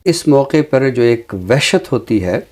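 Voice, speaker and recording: A man with a Pakistani accent giving reflective commentary like a teacher, measured and explanatory, with deliberate pauses. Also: medium pitch, very animated, darkish tone. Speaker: teacher and programme guest. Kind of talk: reflective social commentary in an interview.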